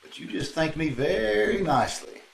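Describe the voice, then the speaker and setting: Man as male radio detective, easygoing, obliging, slightly halting, higher-pitched, gravelly edge. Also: animated, echoey room, low-quality recording. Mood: affable, flattered. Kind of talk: old-time radio drama acting.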